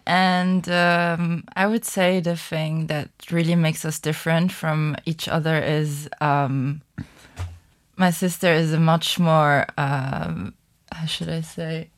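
A woman in her late twenties, a native Farsi speaker, is a guest in an interview. Her voice is very low and she speaks slowly, pausing often on ums as she thinks. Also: steady tone. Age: 20s. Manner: hesitant, patient, many ums. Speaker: guest, bookshop co-owner.

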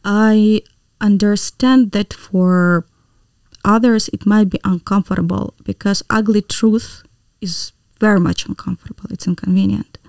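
A woman's voice: medium pitch, fairly even intonation, unhurried, gravelly, darkish tone, no room sound. A woman from Ukraine with a Ukrainian accent, a native Ukrainian speaker, speaking earnestly as an interview guest. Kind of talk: interview conversation.

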